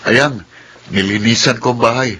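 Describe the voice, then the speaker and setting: A man's voice: mid-range voice, normal speed, pushed and bright, slight background noise, lightly acted. A man playing a hopeful, devoted young man in a radio drama, earnest and encouraging. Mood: relieved, encouraging.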